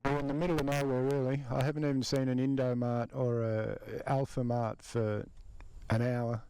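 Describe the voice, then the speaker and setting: A man's voice: mid-range voice, little pitch movement, moderate pace. A man in his fifties, congested and sore, chatting casually to viewers about his motorcycle trip. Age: 50s. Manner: chatty, addresses viewers as guys. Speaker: motorcycle travel vlogger. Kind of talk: casual vlog to camera. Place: outdoors by roads on a motorcycle trip. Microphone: action camera. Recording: loud road and traffic noise outdoors.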